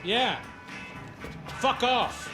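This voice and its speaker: A man's voice: very high voice, lively intonation, very slow, heavily acted. An actor playing a streetwise, affable male ex-cop turned bodyguard in a game cutscene, brash and chummy. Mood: defiant. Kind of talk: dramatic game cutscene acting. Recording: music behind dialogue.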